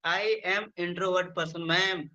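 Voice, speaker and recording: A young man with an Indian English accent speaks hesitantly, his phrasing halting and shy. His voice is bright and fairly high, on slightly muffled, dull-sounding audio. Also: steady tone. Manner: shy, hesitant, nervous laughter, halting speech. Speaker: English learner.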